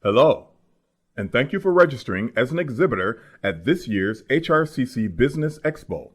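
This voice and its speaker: A man performs a friendly narrator for an instructional video. He reads the script in an even, welcoming tone, guiding listeners clearly through it. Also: medium pitch, moderate pace, pitched above the performer's own voice.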